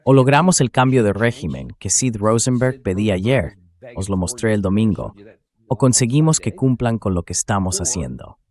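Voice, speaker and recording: Male commentator, lower voice, emphatic, explanatory, direct address to viewers. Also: animated, moderate pace, a little mellow. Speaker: host and political commentator.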